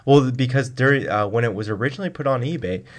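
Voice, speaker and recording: A man's voice: medium pitch, quick pace. A man giving conversational answers as an expert guest in a radio interview. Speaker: guest, car blog writer.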